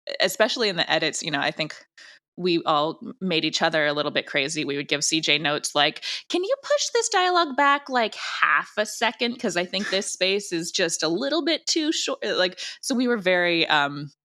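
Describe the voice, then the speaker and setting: A woman with a lowish, bright voice speaks casually as a creator in an interview, in an informal conversational way. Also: big pitch swings, moderate pace. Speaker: audio drama creator, interview guest. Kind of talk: casual, animated interview chat.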